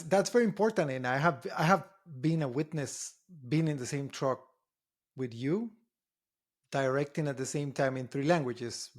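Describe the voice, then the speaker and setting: A man's voice: on the high side, a little mellow. A man from Costa Rica hosting an interview, speaking English in long flowing runs and asking questions. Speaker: interviewer, host.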